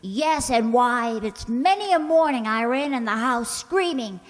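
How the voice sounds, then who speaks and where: Woman acting airheaded sitcom gal, super high slow voice, naive n cheerfully sure, big echoey hall. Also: animated. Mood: earnest, naively confident. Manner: earnest, guileless, ditzy. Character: scatterbrained, naive, cheerfully confident young working woman. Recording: echoey hall, long reverb.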